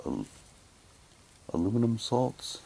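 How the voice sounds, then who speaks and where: A man chatting casually while reading documents aloud, haltingly, with muttered asides to himself. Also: medium pitch, wildly swooping pitch, creaky, dark tone, breathy edge. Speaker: reviewer unboxing an audio drama's props.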